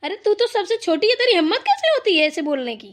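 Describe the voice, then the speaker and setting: Woman playing a high-voiced scolding village mother-in-law. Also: big pitch swings, quick pace. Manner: scolding, commanding, blunt. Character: stern, threatening village mother-in-law, bossy older woman. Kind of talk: cartoon family drama dialogue.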